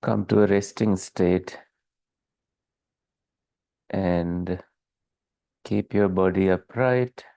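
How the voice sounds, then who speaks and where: A man leading a guided meditation as a teacher, calm and measured, leaving long pauses between short phrases. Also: low-pitched, gravelly, no room sound.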